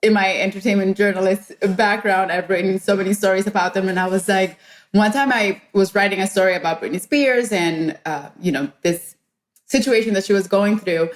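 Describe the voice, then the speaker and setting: Female guest from Colombia, middle-pitched voice, talking casually about herself in an interview. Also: fairly quick. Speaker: podcast guest, journalist. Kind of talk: conversational interview.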